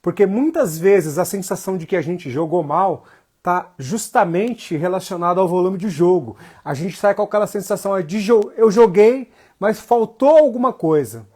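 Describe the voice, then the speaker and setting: A man's voice: high-pitched, moderate pace, a little mellow, slightly rough. A man with a northeastern Brazilian accent teaching casually as a livestream instructor, informal and slangy, often repeating phrases for emphasis. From Jacobina, Bahia, Brazil. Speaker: capoeira teacher. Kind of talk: casual livestream lesson, greeting viewers.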